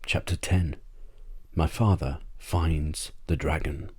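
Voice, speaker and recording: A man narrating a bedtime story as ASMR, with a measured storytelling delivery. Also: extremely low pitch, fairly even intonation, darkish tone, husky. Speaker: audiobook reader.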